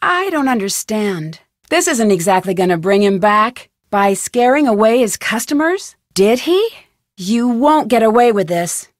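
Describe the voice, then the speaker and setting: An American actress playing a curious, polite, plucky young woman detective in a video game, speaking scripted lines in a clear, earnest way. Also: on the high side, very animated, unhurried, dry recording, studio quality. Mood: confronting.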